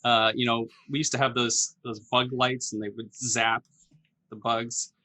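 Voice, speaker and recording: A middle-aged man improvising a casual explanation on a comedy game, halting and repeating himself. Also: mid-range voice, little pitch movement, bright, forceful tone, no echo. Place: home office, video call.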